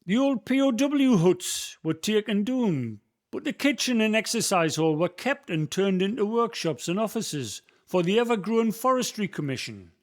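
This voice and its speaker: A man's voice: sits high, sing-song, normal speed, hardly any pauses. An actor with a Northumbrian accent playing an old local man who guides a visitor through the valley's history in a community radio play, telling stories in broad dialect. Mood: storytelling. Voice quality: folksy storyteller, broad dialect words. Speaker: guide character Billy.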